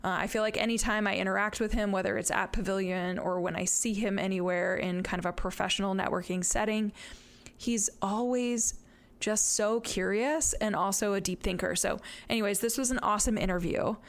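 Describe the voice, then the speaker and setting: Woman podcast host, upbeat and brisk, mid-range voice. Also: medium pitch, fairly even intonation. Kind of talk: podcast intro and interview.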